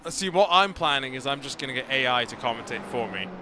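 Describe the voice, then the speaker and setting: A man's voice: mid-range voice, expressive. A man commentating a sim race, chatting and joking with his co-commentator. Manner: chatty, joking, quick-witted. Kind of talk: live race commentary chat. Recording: noisy commentary mic.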